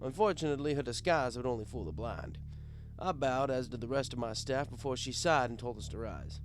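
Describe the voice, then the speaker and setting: A man narrating a comic first-person story in a wry, expressive, conversational storyteller's way. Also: mid-range voice, brisk, background noise. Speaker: audiobook narrator.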